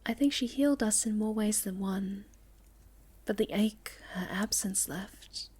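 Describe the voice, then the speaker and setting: A woman playing a sweet, shy young moth girl in an ASMR roleplay, speaking gently and hesitantly, often dropping to a breathy near-whisper. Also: mid-range voice, unhurried. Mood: tender, wistful. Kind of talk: ASMR roleplay, soft intimate storytelling to the listener.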